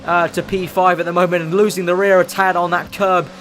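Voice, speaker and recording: A hoarse man, losing his voice as he gives fast, excitable commentary on his own racing game play. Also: sits high, slight background noise. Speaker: gaming YouTuber.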